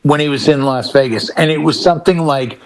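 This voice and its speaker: A man chatting casually as a panelist on a talk show, telling anecdotes in an animated way and acting out quoted dialogue. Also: on the high side, a little fast.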